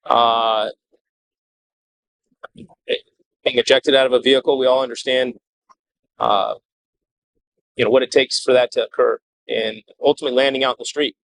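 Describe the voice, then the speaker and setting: A man speaking as a police chief at a press briefing, earnest and measured, with a halting delivery as he gives a statement and answers questions. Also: mid-range voice, vocal fry, no echo, low-quality recording.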